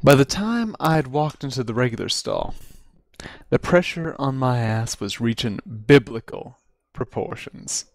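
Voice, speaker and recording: Male reader for comic first-person tale: highly varied intonation, theatrical emphasis, close dry sound. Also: expressive, unhurried, vocal fry, no room sound. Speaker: amateur reader of a comic story. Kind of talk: dramatic reading of a humorous first-person story. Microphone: close computer mic on voice chat.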